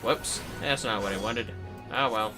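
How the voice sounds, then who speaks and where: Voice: medium pitch, unhurried. Playful, sweary game streamer over music. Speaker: game livestreamer. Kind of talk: casual gameplay commentary with chat replies.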